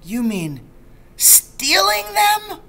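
A man's voice: very high-pitched, huge pitch swings, drawn-out words, vocal fry, sharp tone, voice pushed up, exaggerated voice. A man voicing an earnest young boy in a storybook, exclaiming in shocked questions. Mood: aghast, questioning. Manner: aghast, exclaiming.

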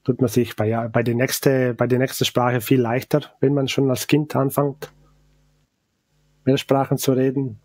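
A man, a brewer and chef from South Tyrol whose first language is Ladin, speaking German with an accent and often pausing to search for words. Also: mid-range voice, flat delivery, a little mellow, dry recording. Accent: Ladin-Italian accent in German. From South Tyrol, Italy. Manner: halting, searching for words, many hesitations. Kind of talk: casual interview.

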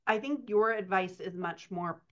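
A woman's voice: medium pitch, unhurried, a bit bright. A woman in her thirties, a writing coach and editor, chatting on a podcast over a video call, laughing often and telling stories with animation. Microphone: computer mic on video call. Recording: slightly dull lo-fi call audio.

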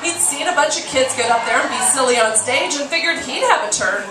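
A woman's voice: high voice, expressive, a little fast, far from the mic. A woman in her forties reading a memoir aloud on stage with a theatrical, emphatic delivery. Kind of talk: live storytelling, reading a memoir excerpt aloud. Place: small dim bar stage, indoors. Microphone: stand microphone, filmed from the audience. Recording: roomy stage PA heard from off, music.

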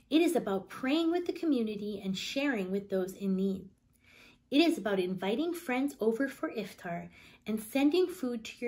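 A woman in her thirties reads a picture book aloud to children. Her voice sits fairly high and moves with very animated intonation. The delivery is expressive and emphatic, in a storyteller's style. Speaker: teacher reading aloud.

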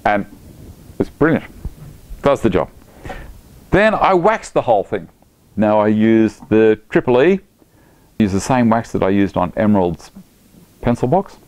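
A man's voice: fairly low pitch, sing-song, measured pace, pauses often, a little mellow. A middle-aged man, chatty and enthusiastic, explaining a woodworking project step by step in his home workshop. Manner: chatty, enthusiastic, talks while working. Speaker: woodworking instructor.

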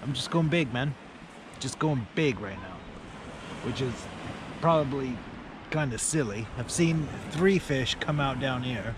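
A man's voice: medium pitch, expressive, unhurried. A man in his forties chatting casually to camera as an angling vlogger, with a laid-back manner. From United Kingdom. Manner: laid-back, often tags remarks with "man". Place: outdoors on a beach at night, in a small tent. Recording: noisy outdoor camera audio.

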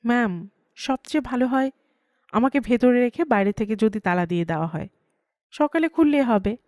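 A woman's voice: a little high, lively intonation, normal speed, darkish tone, no echo, clean studio sound, lightly acted. A woman voicing a brash, quirky young female engineering student, cheeky and argumentative, in an audio story. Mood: polite cheek. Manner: cheeky, argumentative, self-assured.

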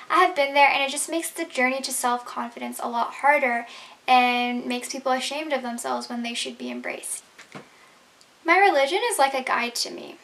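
Young woman from Canada, grew up speaking Urdu, high voice, earnest prepared talk recorded on a webcam. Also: fairly bright tone. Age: young adult. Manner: earnest and explanatory. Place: bedroom, indoors.

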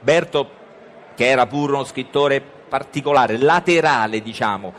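A man hosting a live radio interview at a book fair, talking quickly and ironically and cutting in with jokes. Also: medium pitch, a bit bright, slight background noise. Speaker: radio show host.

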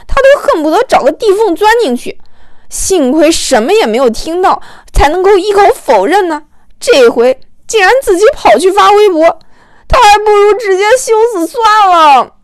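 High-pitched woman narrating a story. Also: big pitch swings, moderate pace, dry recording. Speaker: audiobook narrator. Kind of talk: animated storytelling narration with acted dialogue.

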